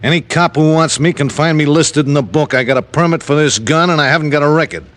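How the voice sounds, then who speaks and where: A man's voice: a little high, quick pace, fairly bright tone, natural voice. An actor playing a menacing, sarcastic gunman in a 1950s radio crime drama, curt, slangy and taunting. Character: menacing, sarcastic male gunman, tough guy.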